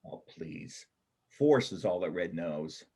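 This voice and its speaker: A man performing a reader's theater part as a gruff, cynical bank robber and combat veteran, blunt and colloquial in his talk. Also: sits low, expressive, takes it slowly, warm tone, exaggerated voice. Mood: heated, insistent. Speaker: amateur church play performer.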